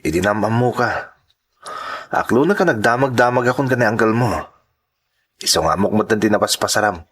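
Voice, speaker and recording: A man with an Ilocano accent playing an earnest, lovestruck suitor, gentle and persistent, in a radio drama. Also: on the low side, animated, brisk, husky. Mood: earnest, tender.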